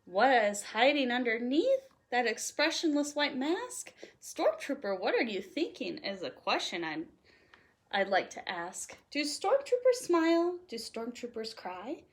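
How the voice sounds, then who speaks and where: A woman reading a children's picture book aloud in an animated, playful way, leaning on the rhymes. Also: a little high, a little slow. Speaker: storytime host reading aloud.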